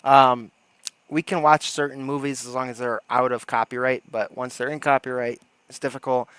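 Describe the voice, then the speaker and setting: A man's voice: medium pitch, little pitch movement, normal speed, fairly bright tone, smooth, no echo. A man hosting a livestream with casual, joking, sarcastic commentary into a close microphone. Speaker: livestream host.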